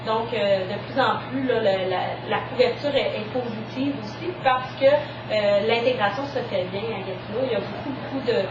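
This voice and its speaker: A woman's voice: a little high, normal speed, highs cut off. A woman hosting a group meeting, chatty, with many fillers. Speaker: group host. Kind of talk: casual presenting to a group. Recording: roomy sound from a distance, audible noise.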